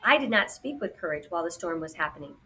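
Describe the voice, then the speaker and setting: A woman's voice: fairly low pitch, lively intonation, fast, bright, forceful tone. Woman voicing a formal, stern, precise robot. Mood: matter-of-fact. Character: formal, stern, matter-of-fact robot colleague, easily irritated. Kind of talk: children's audiobook character voice.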